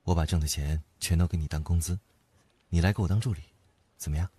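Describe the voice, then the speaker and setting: An actor playing an indulgent, unflappable company boss and older boyfriend, speaking calmly and dotingly in an audio drama. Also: male voice, sits low, fast talker, husky, no room sound. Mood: fond teasing. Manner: calm, gently doting. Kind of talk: audio drama acting, intimate low-key talk. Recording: background music under the voice.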